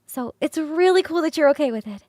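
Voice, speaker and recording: High-voiced, flirty succubus roommate, acted by a woman. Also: lively intonation, brisk, a little mellow, no echo, clean studio sound. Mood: excited, grateful. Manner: bubbly, rambling, easily sidetracked, teasing. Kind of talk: ASMR roleplay acting.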